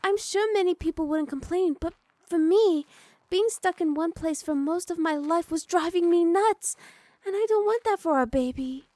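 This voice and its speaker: A woman's voice: extremely high pitch, moderate pace, mellow, no echo. A woman playing a doting, teasing young noblewoman wife in an intimate ASMR roleplay, sweet and coaxing. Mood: indignant pleading. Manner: sweet, coaxing, playfully scolding.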